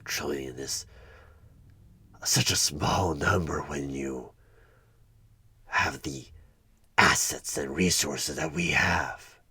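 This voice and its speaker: A man playing a sinister, smug devil of a recruiter in a horror ASMR roleplay, coaxing and condescending, with a gravelly voice that often slips toward a whisper. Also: a bass voice, pushed and bright, heavily acted. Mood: tempting, needling. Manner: slow, deliberate, coaxing, condescending. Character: sinister devilish male recruiter, smug soul-buying tempter. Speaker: roleplay performer playing a job interviewer. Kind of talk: one-sided horror ASMR audio roleplay.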